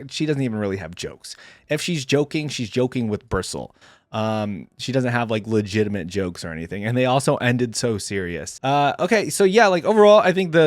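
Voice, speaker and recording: A man's voice: mid-range voice, no echo, clean studio sound. A man hosting a reaction video, giving casual fan commentary on video game voice lines.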